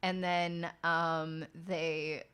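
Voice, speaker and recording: A woman's voice: sits low, flat delivery, extremely slow, fairly bright tone, clear, resonant tone. A woman streamer and podcast host, chatting casually and rambling with lots of fillers and swearing as she works late at night. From outside the United States. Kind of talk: casual late-night chat while working.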